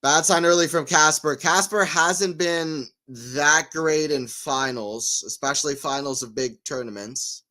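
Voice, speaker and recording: A man giving live tennis play-by-play on a stream, chatting with viewers and getting excited on big points. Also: a little high, a little slow, hardly any pauses. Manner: excitable on rallies, rambling and self-mocking between points. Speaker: tennis watchalong commentator. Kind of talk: live play-by-play and casual chat.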